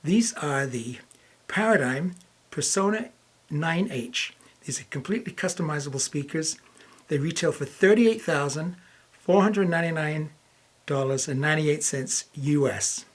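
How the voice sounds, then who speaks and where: A man's voice: fairly high pitch, animated, a little slow. A man hosting a casual product review, talking informally as he introduces and asks questions. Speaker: reviewer and host.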